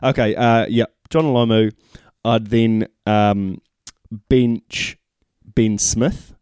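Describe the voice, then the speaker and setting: A young man in a relaxed rugby podcast chat who jokes, teases and laughs easily. His voice is mellow and warm in tone, recorded dry and close. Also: on the low side, takes it slowly, clean tone, no echo. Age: young adult. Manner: jokey, laughs often, teases co-host. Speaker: podcast co-host.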